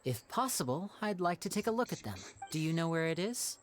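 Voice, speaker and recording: A suave young magician. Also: female voice, lowish voice, big pitch swings, a little fast. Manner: smooth, theatrical, confident. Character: charming young male stage magician.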